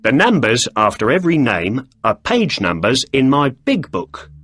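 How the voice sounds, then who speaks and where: A man voicing a grumpy, defensive male shopkeeper who snaps quick, irritable answers. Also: medium pitch, huge pitch swings, fairly bright tone, breathy edge, voice pushed up, exaggerated voice.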